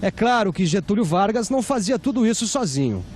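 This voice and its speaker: Male presenter, Brazilian, scripted educational narration, pitched high. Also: very animated, brisk, darkish tone, slight background noise.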